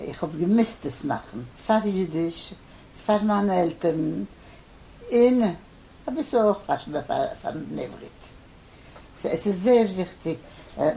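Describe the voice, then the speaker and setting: An older man who grew up in Poland, his first language Polish, answering interview questions haltingly with many pauses and fillers. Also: on the high side, vocal fry, dark tone. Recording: faint background noise.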